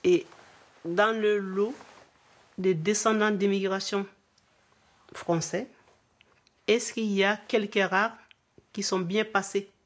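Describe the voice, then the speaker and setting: A woman's voice: mid-range voice, fairly even intonation, slow, pauses often. An older woman, a mother and grandmother, speaking earnestly in an interview. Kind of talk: interview testimony.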